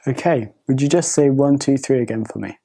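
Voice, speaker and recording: A woman doctor examining a patient, giving soft, polite, reassuring instructions. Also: very deep voice, a little fast, vocal fry, slightly rough. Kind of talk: gentle clinical instructions.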